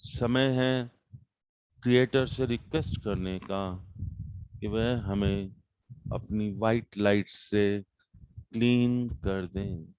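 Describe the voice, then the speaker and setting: A man with an Indian accent leading a guided meditation, calm and measured, with deliberate pauses between short phrases. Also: lowish voice, clean tone, no echo, low-quality recording.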